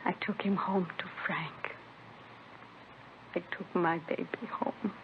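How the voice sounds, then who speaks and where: An actress playing a distraught, grieving young mother making a halting confession in a 1950s radio drama. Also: on the low side, fairly even intonation, whispered. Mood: grieving, haunted. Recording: tinny, noisy vintage radio recording.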